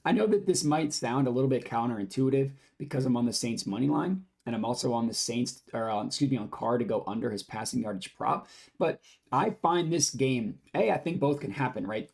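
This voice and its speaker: A hoarse young man with a raspy voice, hosting his own sports betting channel and talking through his picks in a brisk, upbeat way. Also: mid-range voice, darkish tone. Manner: upbeat, brisk, explaining odds to viewers. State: hoarse, lost voice from screaming. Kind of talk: casual scripted betting picks talk.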